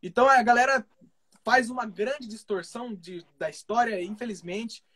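Polite male radio host, high voice. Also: steady tone, moderate pace, sharp tone. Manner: affirming, polite, explanatory. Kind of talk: live interview over a video stream.

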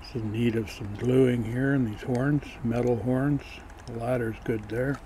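A man showing his collector's finds in a casual, matter-of-fact way, pausing as he handles each piece, recorded on a camera mic. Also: mid-range voice, steady tone, warm tone, slight background noise. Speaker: toy collector showing flea market finds. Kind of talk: casual show-and-tell narration.

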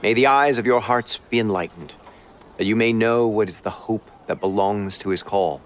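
A man's voice: on the low side, moderate pace, slight background noise. A man giving a solemn, scripted reading of a scripture letter. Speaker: scripture reader. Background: music.

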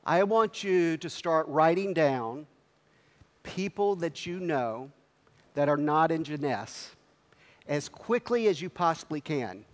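A middle-aged man with a headset mic, giving an energetic motivational speech on a large convention stage and firing questions at the audience. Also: on the high side, slow pace. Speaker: company executive speaking at a convention. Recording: long hall reverb.

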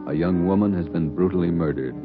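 A male announcer opening an old radio crime drama in a grave, measured delivery. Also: low voice, music in the background. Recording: muffled old radio broadcast.

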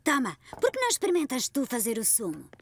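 A woman's voice: high-pitched, wildly swooping pitch, quick pace, exaggerated voice. A woman with a European Portuguese accent voicing a kind, patient grandmother in a dubbed cartoon, speaking in an encouraging way. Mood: gentle.

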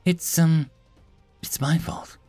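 A dainty, naive mother creature from a fantasy audiobook, played by a man. The voice is pitched up high and moves in lively swings, polite and hesitant, with music underneath. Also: normal speed, breathy edge, no echo, exaggerated voice. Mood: guilty, weeping.

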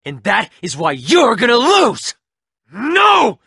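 A man's voice: extremely high pitch, huge pitch swings, slow pace, gravelly, bright, forceful tone, husky, no echo, studio quality, exaggerated voice. An actor voicing an arrogant young male rival gang leader in a video game, sneering and taunting with mocking laughs. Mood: furious.

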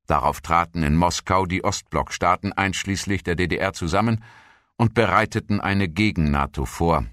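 A low-pitched male narrator reads a scripted historical documentary text. His delivery is measured and formal, as in an audiobook. The sound is dry and close, with hardly any room on it. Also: a bit bright, no room sound.

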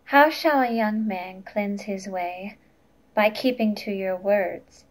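A woman in her twenties gives a scripture reading. She goes very slowly, with a careful, plain delivery in a mid-range voice. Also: medium pitch. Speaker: lay reader.

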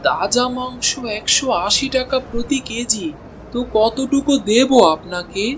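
A man's voice: very high-pitched, measured pace, noisy background. A man voicing an honest, henpecked male butcher in a cartoon, speaking timidly and pleading. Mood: earnest. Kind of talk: cartoon voice acting.

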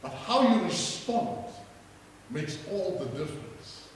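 A middle-aged South African man preaching a sermon from a church stage, rising to loud calls and prompting the congregation to answer. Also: high-pitched, big pitch swings, slow, a little hiss or hum. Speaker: pastor. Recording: echoey hall from far off.

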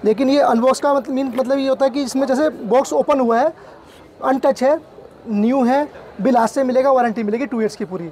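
Fast-talking salesman in a busy hall. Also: very high-pitched, darkish tone. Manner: quick, repetitive, listing products and prices. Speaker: camera shop salesman. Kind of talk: sales pitch in an interview. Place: busy indoor exhibition hall.

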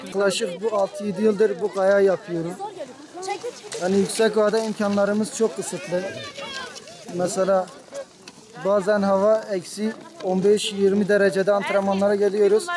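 Earnest young skier outdoors, crowd around. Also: male voice, sits high, flat delivery, moderate pace. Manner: earnest, pleading, hesitant with fillers. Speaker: local skier. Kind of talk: street interview. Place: outdoors at a ski race in deep cold. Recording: outdoor crowd chatter behind.

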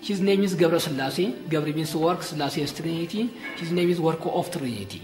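An Ethiopian man, a church guide, explaining paintings in halting broken English on a visitor's camcorder. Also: fairly high pitch, lively intonation. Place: inside a small painted church. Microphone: visitor's handheld camcorder. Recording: echoey church room with loud music behind.

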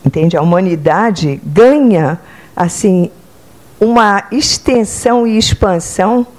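An older Brazilian woman, a psychotherapist, giving a spiritual lecture standing in an auditorium, talking into a handheld microphone and often checking in with her listeners. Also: mid-range voice. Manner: conversational, checks in with "entende?" and "né?"; speaks with lively hand gestures, standing. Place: club auditorium, indoors.

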